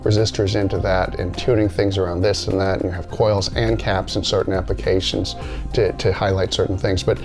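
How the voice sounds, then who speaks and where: Middle-aged male presenter, lowish pitch, informal step-by-step explainer, music underneath. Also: level tone, fairly quick. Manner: plain-spoken, explains step by step with examples. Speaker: company presenter explaining audio basics. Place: indoor listening room, seated.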